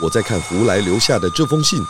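An actor playing a calm, clever pig detective who explains patiently in a children's audio drama. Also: male voice, on the low side, quick pace, noisy background, natural voice. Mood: thoughtful, explaining.

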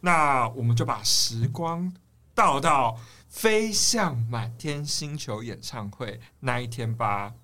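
A man's voice: medium pitch, expressive, slow pace, bright, forceful tone. A man with a Taiwanese accent co-hosting a casual podcast interview with joking, teasing banter. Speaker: podcast co-host.

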